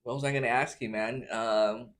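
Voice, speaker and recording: A man's voice: medium pitch, fairly even intonation, moderate pace, a bit bright. A man hosting a casual interview, asking his questions in an informal, loosely worded way. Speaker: interviewer, host. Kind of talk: casual food-tasting interview.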